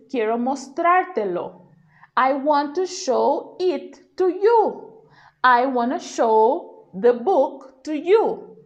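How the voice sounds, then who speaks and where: A woman with a fairly high voice teaches an informal grammar lesson. She speaks very slowly, explaining step by step in a patient way and often checking that the learner follows. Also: a little mellow, lo-fi sound. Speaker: Spanish language teacher.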